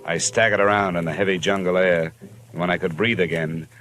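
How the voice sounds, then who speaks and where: Low, sardonic tough-guy detective, guy acting, old radio show, noisy recording. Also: fairly even intonation, brisk, natural voice. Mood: wry, narrating. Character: wry, hard-boiled male insurance investigator. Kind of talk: 1950s radio detective acting, first-person narration and dialogue.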